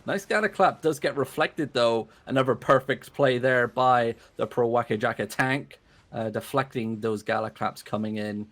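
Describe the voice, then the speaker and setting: A man giving relaxed, chatty live play-by-play commentary on an online game battle. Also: medium pitch, moderate pace. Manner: relaxed, chatty, laughs easily. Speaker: esports caster.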